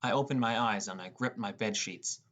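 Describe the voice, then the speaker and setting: A man's voice: fairly low pitch, fairly quick, a bit bright. A man reading a scripted first-person story as an audiobook narrator.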